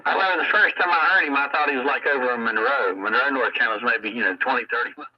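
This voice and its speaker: A man's voice: medium pitch, rapid-fire, slightly rough. A man reminiscing casually as a radio operator, heard over a radio transmission. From American South. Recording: dull band-limited CB radio audio.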